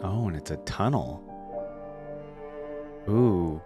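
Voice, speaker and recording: A man's voice: sits low, animated, slow pace, music in the background. A man hosting a reaction video, giving casual off-the-cuff commentary and musing aloud in short remarks.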